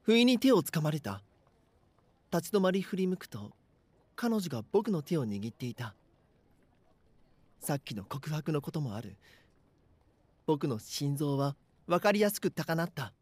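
A man's voice: fairly high pitch, big pitch swings, measured pace, long pauses, no echo. Anxious schoolboy narrator, acted by a man, dry recording. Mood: tense, uneasy. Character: anxious, bashful schoolboy narrating his own eerie story. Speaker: voice actor. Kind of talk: Japanese voice drama, first-person narration and dialogue.

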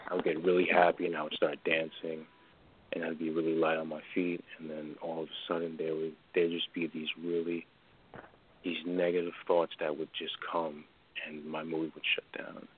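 A man in his twenties from New York, calling in to a radio show and talking hesitantly and haltingly. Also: extremely low pitch, monotone, a little hiss or hum. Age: 20s. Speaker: caller. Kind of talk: call-in radio conversation. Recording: tinny phone line.

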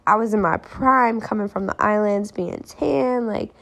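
A young woman hosting her own podcast, talking casually and reflectively, her voice a little raspier than normal. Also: medium pitch, a little slow, fairly bright tone. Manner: chatty and reflective, quoting her own thoughts aloud. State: voice more raspy than normal. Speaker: podcast host. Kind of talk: casual solo podcast talk.

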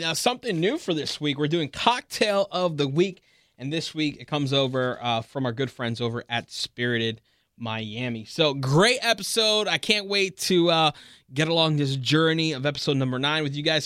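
A man's voice: fairly high pitch, fairly bright tone, no echo, clean studio sound. A man hosting a food podcast in a casual, upbeat, promotional way. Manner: upbeat, promotional, sing-song plugs. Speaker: podcast host.

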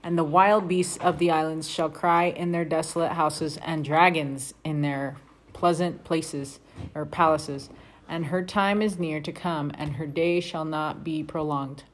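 A woman with a lowish voice talks informally among family. Her delivery is playful and slangy. The home recording has clear background noise and clicking. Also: steady tone, a little slow. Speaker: family podcast host reading scripture aloud and commenting. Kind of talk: casual family chat with scripture reading.

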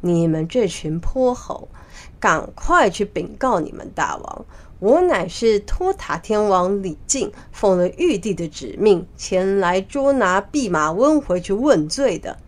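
Haughty celestial commander read by a woman, authoritative, formal storybook declaiming, animated intonation. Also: medium pitch. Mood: commanding. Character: stern, commanding male heavenly general, haughty and authoritative. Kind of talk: children's storybook character voice.

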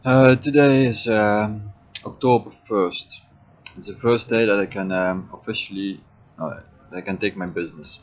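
Low-voiced man, hesitant monologue. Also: fairly even intonation, normal speed. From Belgium (Flanders). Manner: hesitant, many fillers and restarts. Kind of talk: reflective personal monologue.

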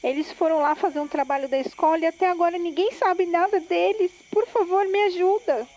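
A woman's voice: very high-pitched, fairly even intonation, a little fast, a little mellow, muffled recording. A woman playing an anxious, pleading mother in an audio drama, with a Brazilian accent. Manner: worried, pleading. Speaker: voice actor.

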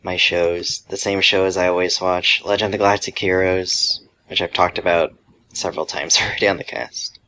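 A man's voice: sits low, level tone, moderate pace, pushed and bright. A man speaking as a podcast co-host in casual, informal conversation.

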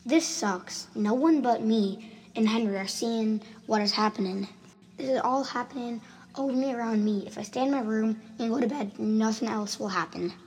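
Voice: medium pitch, normal speed, natural voice, rough recording. A performer playing an earnest, insistent schoolboy in an amateur radio drama. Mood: sulky, worried. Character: Timmy, earnest schoolboy who insists on what he saw. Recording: home recording with clear noise and clicks.

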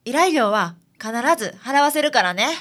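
A woman's voice: fairly high pitch, expressive, moderate pace, fairly bright tone. A woman playing a flustered, feisty young female detective's assistant with a Kansai accent in a radio drama. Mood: apologetic.

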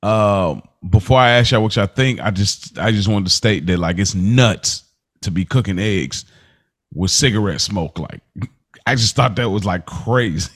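A man's voice: fairly low pitch, unhurried, a bit bright. A young adult man co-hosting a casual podcast, laid-back and laughing often as he talks, restarting his sentences. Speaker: podcast co-host. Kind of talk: casual chat.

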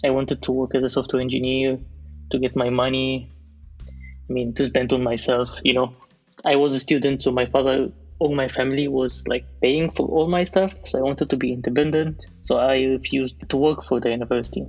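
A man in his thirties from Egypt, a software developer speaking as a podcast guest in a measured, thoughtful way, often pausing to rephrase. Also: mid-range voice, flat delivery, muffled recording, faint music behind. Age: 30s. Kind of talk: casual interview.

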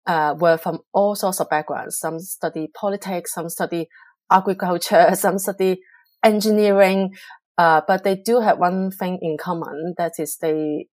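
Low-voiced middle-aged woman, reflective. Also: steady tone, darkish tone, no room sound. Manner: measured, reflective, frequent ums and uhs. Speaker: public relations professional, guest. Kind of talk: conversational interview answer.

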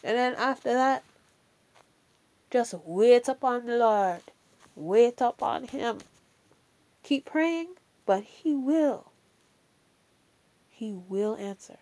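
A woman's voice: fairly high pitch, expressive, slow pace, pauses often, darkish tone. A woman with a Jamaican accent giving a casual, earnest faith talk. She speaks emphatically, repeats key phrases for weight, and often adds "you know" and "all right".

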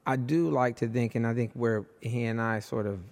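Hoarse, gravelly man hosting a podcast. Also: medium pitch, moderate pace, long reverb tail. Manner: animated, voice jumps up when excited. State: hoarse, voice nearly gone. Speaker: podcast host. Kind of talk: casual conversation.

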